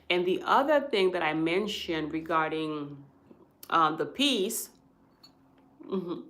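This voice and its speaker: A woman hosting a live stream about hospice, explaining patiently and checking in with viewers after each point. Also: lowish voice. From Haiti. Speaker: hospice worker and livestream host.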